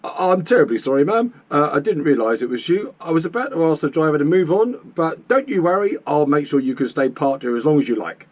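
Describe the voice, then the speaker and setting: A man's voice: a little high, expressive, fairly quick, few pauses, darkish tone, highs cut off, voice pushed up. A man voicing an officious, deferential British policeman in a storybook, flustered and emphatic.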